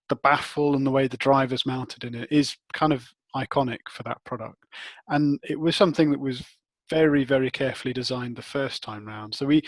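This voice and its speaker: Man, mid pitch, technical interviewee, thinking aloud, rephrasing often, dry recording. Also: no echo. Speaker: interviewed expert, head of research and development.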